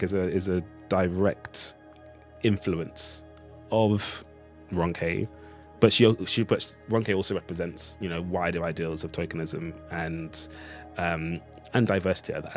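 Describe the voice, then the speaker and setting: Male artist interviewee, low register, reflective and halting, choosing words carefully over prominent music. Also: fairly even intonation. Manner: hesitant, thoughtful, hedging. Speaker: playwright and artist, interview guest.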